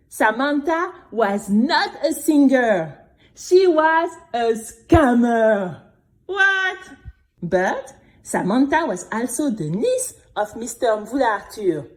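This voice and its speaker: A woman playing an earnest, resilient young singing contestant, narrating her own story in an expressive, deliberate, dramatic way. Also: sits high, heavily acted. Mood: indignant. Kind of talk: scripted dramatic first-person storytelling.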